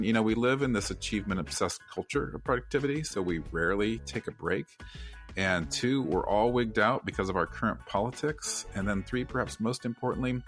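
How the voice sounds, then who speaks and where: Male podcast co-host, very low voice, lively and emphatic, faint music underneath. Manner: animated, emphatic, conversational. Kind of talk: casual podcast chat.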